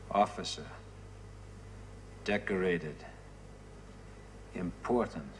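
An actor playing a dazed former prisoner who speaks in halting, flat, broken phrases, in a 1970s TV drama. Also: male voice, low-pitched, a little hiss or hum. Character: dazed man, a former prisoner reliving brainwashing.

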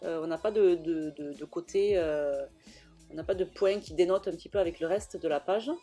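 A young woman narrating her own craft video in a casual, halting way, full of fillers and restarts. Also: lowish voice, warm tone, soft background music. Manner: hesitant, many fillers and restarts. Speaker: scrapbooking vlogger.

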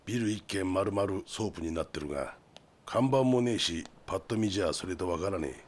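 Knowing middle-aged underworld type, deep voice, rough colloquial delivery, crime game drama. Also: male voice, expressive, fairly quick. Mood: knowing, sly. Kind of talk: Japanese video game cutscene acting.

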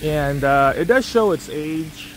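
A man's voice: fairly high pitch, animated, takes it slowly, a little hiss or hum. Rambling man vlogging outdoors. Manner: rambling, many fillers and restarts. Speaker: travel vlogger. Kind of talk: casual walking tour commentary. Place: outdoors at a beach resort. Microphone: phone on a gimbal.

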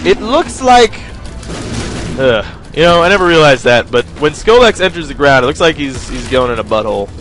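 A young man chats his way through a game run, rambling and poking fun at himself as he addresses his viewers. His pitch bounces around animatedly from line to line. Quiet game music plays softly under his voice. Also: sing-song, normal speed. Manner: rambling, joking, self-mocking, talks to viewers. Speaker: gaming video host. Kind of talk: casual gameplay commentary. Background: faint game music.

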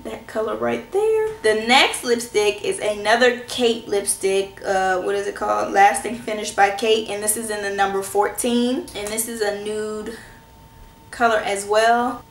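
Hoarse woman chatting in an echoey room. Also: mid-range voice, a little slow, a little hiss or hum. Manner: chatty and reassuring, self-teasing. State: hoarse from a cold. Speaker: beauty vlogger. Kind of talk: casual product review. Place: small indoor room at home. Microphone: camera in front at close range.

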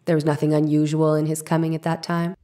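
A woman playing a formal, deliberate trial lawyer in a dramatic reading of an old court case. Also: sits low, completely flat delivery, warm tone, close to an everyday voice. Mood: probing, formal. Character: formal, probing trial lawyer cross-examining a witness.

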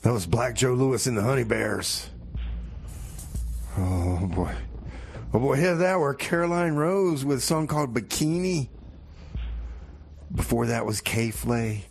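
A man's voice: medium pitch, animated, unhurried, vocal fry, long pauses, faint music behind. A man hosting a casual comedic radio show in a folksy, rambling way, often repeating himself. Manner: folksy, rambling, hesitant repetitions.

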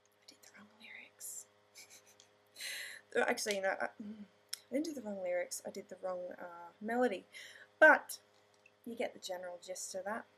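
A woman's voice: medium pitch, moderate pace, pauses often, fairly bright tone, breathy edge. A young woman on a webcam in a small dim room, chatting dreamily and playfully about her new song, her voice a little scratchy. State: slightly scratchy voice. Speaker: singer-songwriter vlogger. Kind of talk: casual webcam chat and singing a song demo. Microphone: webcam at close range.

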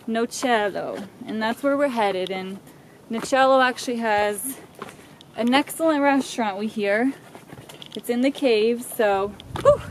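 Young woman, casual travel vlog; fairly high voice, outdoors, slight background noise. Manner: informal, explains the route to camera. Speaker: travel companion. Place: outdoor mountain trail. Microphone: handheld camera.

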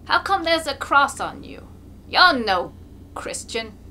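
Lady doing an old peasant man scolding, loud sharp indignant voice, slight noise. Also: sits high, big pitch swings, slow pace, voice pushed up, full character voice. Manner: indignant, accusing.

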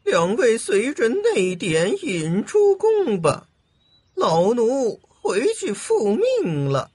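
A woman voicing a deferential old palace eunuch in an audiobook drama, with drawn-out, obedient delivery. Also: fairly high pitch, wildly swooping pitch, a little mellow, slightly rough, no echo, full character voice.

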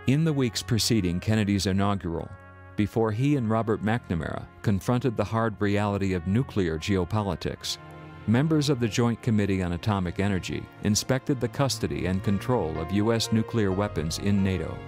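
A man's voice: lowish voice, expressive, normal speed, a little mellow, faint music behind. An American man reading scripted documentary narration.

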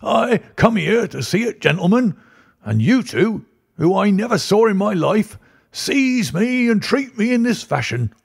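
A man reading an audiobook, voicing a petulant, obstinate very old man with a high, quavering, drawling voice. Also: sing-song, a little slow, creaky, breathy edge, exaggerated voice. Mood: indignant.

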